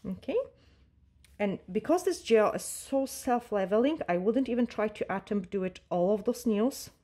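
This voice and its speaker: Woman nail tech, mellow tone, calm step-by-step tutorial delivery with fillers. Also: medium pitch, moderate pace. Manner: calm, instructive, with frequent fillers and non-native grammar. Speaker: nail technician teaching a tutorial. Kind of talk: step-by-step tutorial narration while working. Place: nail salon desk.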